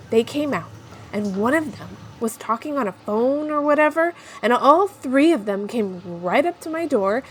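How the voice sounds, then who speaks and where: A woman's voice: medium pitch, slight background noise, lightly acted, low-quality recording. A woman playing a frantic, chatty young woman on the run in an audio drama, with a rambling, confiding manner. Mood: nervous, chatty. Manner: rambling, informal, breathless asides to listeners. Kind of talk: first-person audio drama, confiding podcast monologue.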